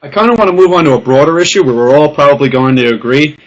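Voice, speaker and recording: A man's voice: a little high, animated, fast. A man hosting a casual panel discussion, asking long, wordy questions and teasing his guests. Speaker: podcast host. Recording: dull lo-fi sound.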